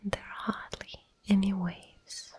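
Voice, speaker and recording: A woman guides a relaxation and sleep visualization in an ASMR whisper. Her voice is extremely breathy and airy, with hardly any tone in it. She speaks very slowly and soothingly, leaving long pauses between short phrases. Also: mid-range voice, flat delivery, darkish tone.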